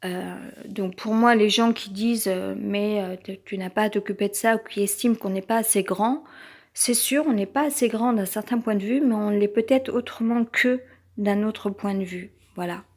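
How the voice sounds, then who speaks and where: A woman's voice: medium pitch, normal speed, a little mellow. A woman with a French accent who has a cold, giving a rambling personal monologue as a vlogger, tense and hesitant, with many fillers and restarts.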